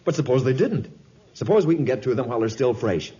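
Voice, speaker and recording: An actor playing an eager, stubborn young scientist, earnest and emphatic, in a 1950s radio drama. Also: male voice, medium pitch, expressive, fast, warm tone, slightly rough. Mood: excited. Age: young adult. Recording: dull lo-fi 1950s radio recording.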